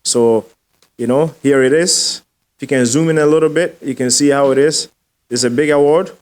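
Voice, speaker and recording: A man's voice: medium pitch, normal speed, a little mellow. A man hosting a podcast interview, enthusiastic and given to repeating himself. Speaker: podcast host. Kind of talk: casual interview talk.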